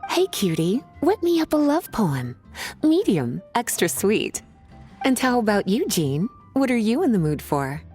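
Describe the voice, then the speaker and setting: An actress playing a languid, flirtatious woman in a video game, with a playful, coaxing, drawn-out delivery. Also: mid-range voice, sing-song, mellow, breathy edge, soft background music. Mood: teasing, languid.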